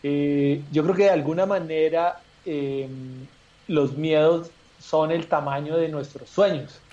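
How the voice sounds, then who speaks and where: A man's voice: on the high side, a little mellow, lo-fi sound. A male guest speaking reflectively with frequent hesitations over a remote call. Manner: reflective, hesitant, many "eh" pauses. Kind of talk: casual chat.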